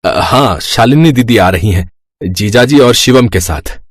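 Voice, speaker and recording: An actor playing a mild, hesitant, accommodating middle-class husband and younger brother in a scripted moral story. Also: male voice, medium pitch, lively intonation, unhurried, slightly rough, no room sound, studio quality. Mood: hurried.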